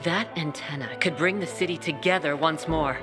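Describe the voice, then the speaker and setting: An actor playing a tough peacekeeper commander who speaks in a gruff, curt way. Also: medium pitch, moderate pace, music in the background, lightly acted.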